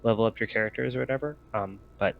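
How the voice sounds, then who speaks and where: A man's voice: lowish voice, steady tone, fast talker, vocal fry, phone-quality sound, slight background noise. A man working as game master, narrating a sci-fi tabletop roleplaying game in descriptive, improvised storytelling.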